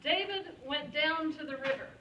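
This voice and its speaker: A woman's voice: high voice, moderate pace. A woman narrating a puppet show in a storytelling style. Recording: echoey room, distant mic, clear noise.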